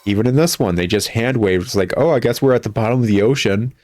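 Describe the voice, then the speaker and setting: A man's voice: fairly low pitch, fast, a little mellow, no echo. A man giving casual gameplay commentary in a sarcastic, exasperated, griping manner. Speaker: gamer critiquing a video game. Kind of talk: casual gameplay commentary, reading dialogue aloud. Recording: music behind the voice. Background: video game music.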